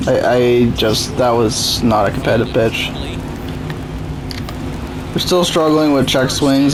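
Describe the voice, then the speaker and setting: A young man with a sore, strained throat, casually commenting on his own baseball game. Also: medium pitch. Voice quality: voice strained and rough. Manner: laid-back, self-deprecating. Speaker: gaming YouTuber. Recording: noisy home recording.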